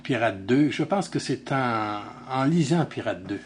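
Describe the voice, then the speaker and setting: A man's voice: medium pitch, expressive, unhurried, slight background noise. A man, an interviewer asking questions eagerly with many fillers.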